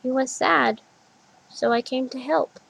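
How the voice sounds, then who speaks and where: An earnest little toddler girl, higher and lighter than an adult's voice, with a slight creak in its tone, as a woman puts her on while reading a story aloud. She speaks in simple childlike words, insistent and gentle. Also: fairly even intonation, unhurried, heavily acted, poor audio. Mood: quiet.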